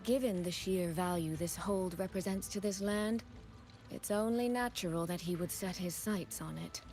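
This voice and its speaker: A woman acting a cool, dryly observant character in a video game, speaking in a deliberate, wry way. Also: lowish voice, darkish tone, soft background music, natural voice. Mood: cool reasoning.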